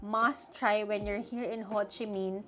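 A young adult woman from the Philippines, a travel vlogger talking through her trip budget in a chatty, animated way. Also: mid-range voice, slow pace, soft background music. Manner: chatty, animated, mixing Tagalog and English. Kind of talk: casual vlog chat with budget talk.

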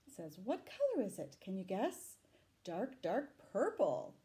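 A middle-aged woman reading a picture book aloud to children, dramatic and deliberate, adding asides and questions for her young listeners. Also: mid-range voice, huge pitch swings, mellow. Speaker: library storytime reader. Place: small room indoors. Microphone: camera a short distance away.

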